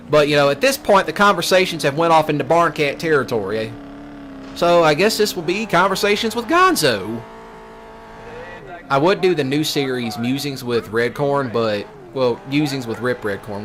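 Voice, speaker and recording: A man's voice: mid-range voice, fairly bright tone, a little hiss or hum. A young man with a Southern US accent rants and rambles casually over a let's play, in a colloquial, jokey, self-deprecating way. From Mississippi, US. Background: game music and vehicle sounds.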